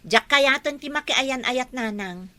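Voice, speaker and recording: High-voiced, stubborn Ilocano young daughter. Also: female voice, unhurried, bright, forceful tone, dry recording. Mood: firm, resentful. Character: stubborn, heartbroken young daughter who refuses love. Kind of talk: Ilocano radio drama acting.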